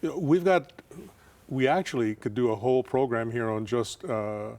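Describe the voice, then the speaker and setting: A man hosting a television interview show, talking casually with frequent fillers and restarts. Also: medium pitch. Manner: relaxed, halting, many fillers and restarts. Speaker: TV show host.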